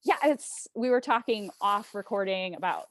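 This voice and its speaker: Lady hosting a podcast, asking questions casually, average pitch, really dry sound with no room. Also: lively intonation, normal speed, dry recording. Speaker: podcast host, interviewer. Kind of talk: conversational interviewing.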